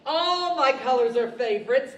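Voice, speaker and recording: A woman's voice: sits high, lively intonation, moderate pace. A woman playing a living patchwork doll, giddy, vain and giggly, with singsong radio-play delivery. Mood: giddy, boastful. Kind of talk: 1940s radio-style stage acting. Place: library stage, microphones on stands. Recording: echoey hall from far off.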